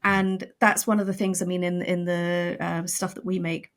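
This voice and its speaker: Woman in animated, conversational podcast discussion. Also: fairly low pitch, moderate pace. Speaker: guest, audio drama writer.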